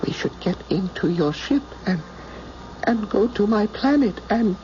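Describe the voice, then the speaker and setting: A wounded alien pilot, domineering and intense, speaking in slow, halting theatrical phrases. The old radio drama recording is dull, with a faint layer of noise. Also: male voice, sits high, animated, darkish tone. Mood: fevered, commanding. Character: wounded, dying male alien pilot, domineering and power-hungry.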